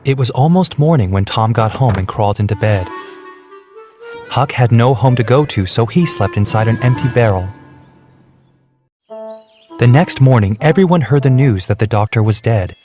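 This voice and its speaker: A man's voice: mid-range voice, animated, moderate pace, long pauses, dark tone. Male storybook narrator over music. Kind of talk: scripted children's story narration.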